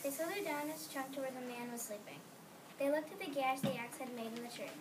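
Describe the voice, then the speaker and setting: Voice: high voice, fast talker. A child of about nine or ten reading storybook narration in a readers theater. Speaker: student narrator. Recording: noisy room, distant microphone.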